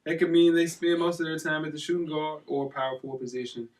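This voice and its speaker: A man's voice: on the high side, little pitch movement, quick pace. A man hosting a sports podcast with casual, slangy banter, jovial and given to repeating phrases for emphasis. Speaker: podcast host. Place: studio. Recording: slightly distant from the mic.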